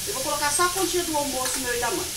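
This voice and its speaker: A woman's voice: sits high, rapid-fire. A middle-aged woman, chatting casually and directly to viewers as she demonstrates home cooking. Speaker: home cook and vlogger. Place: small home kitchen, indoors. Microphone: phone, partly from across the room. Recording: echoey kitchen with clear sizzling noise.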